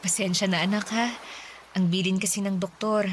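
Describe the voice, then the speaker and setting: A woman's voice: mid-range voice, fairly even intonation, normal speed, background noise, close to an everyday voice. An actress with a Filipino accent playing a devoted, protective, worried mother in a radio drama, speaking tenderly and emotionally. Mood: tender, reassuring. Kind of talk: Tagalog radio drama acting.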